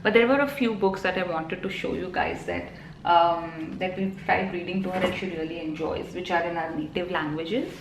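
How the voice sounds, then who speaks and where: A woman in her thirties with an Indian accent talks casually to camera, filling pauses with ums and you-knows. Her voice sits a little low. The room is echoey, with a faint hum of ambience behind her. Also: fairly even intonation, creaky. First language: Telugu. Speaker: parenting vlogger.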